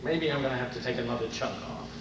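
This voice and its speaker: A man's voice: medium pitch, fast, natural voice. A man playing a grumbling, put-upon husband who protests, in old-time radio comedy style on stage. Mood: weary, complaining. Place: convention stage before an audience. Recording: echoey noisy hall, distant microphone.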